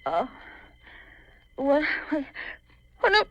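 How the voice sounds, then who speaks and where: A woman's voice: sits high, wildly swooping pitch, extremely slow, no echo, exaggerated voice. An actress playing an anxious young woman unsure of who she is, hesitant and nervous, in a 1950s radio mystery. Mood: frightened. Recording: muffled, noisy 1950s radio recording.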